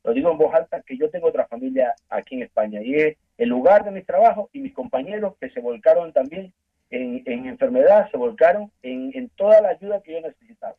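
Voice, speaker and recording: A man from Ecuador with an Ecuadorian accent, earnestly telling his story as a guest in a phone-in interview, halting and restarting often. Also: a little high, animated, breathy edge. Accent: Ecuadorian Spanish. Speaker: guest giving a testimony. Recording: tinny phone line.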